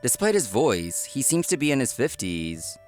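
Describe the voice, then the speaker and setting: A man's voice: mid-range voice, big pitch swings, moderate pace, a little mellow, natural voice. A man acts out a hardworking young restaurateur in a manga drama. His delivery moves expressively between sincere narration and easily flustered dialogue. Music plays behind him. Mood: earnest, reflective. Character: earnest, work-obsessed young male restaurant owner, easily flustered. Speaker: narrator and lead character.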